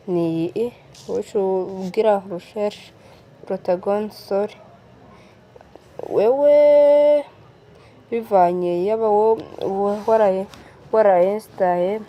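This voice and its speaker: A woman's voice: mid-range voice, mellow, a little hiss or hum. A female speaker with a Burundian accent explaining an app step by step, repeating words as she goes. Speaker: tutorial presenter.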